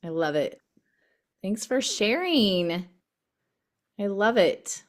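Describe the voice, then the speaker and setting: A woman with a hoarse, worn voice hosts a video call. Her pitch sits in the middle of the range. She talks in a chatty, easygoing way and fills her sentences with ums. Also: big pitch swings, slow pace. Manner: chatty and warm, many ums. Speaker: group leader hosting a video call. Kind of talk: casual announcements on a video call.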